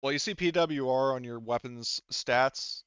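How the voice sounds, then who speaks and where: A man's voice: medium pitch, measured pace, fairly bright tone, smooth, no echo. A man speaking as game master of a tabletop roleplaying game, casually profane, talking players through the rules. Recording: dull, lo-fi close dry voice track.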